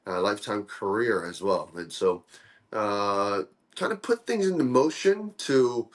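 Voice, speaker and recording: A young man from Korea, a guest in a casual interview, talking in a relaxed, friendly way with lots of "uh" fillers. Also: lowish voice. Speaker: guest, coffee dripper co-founder.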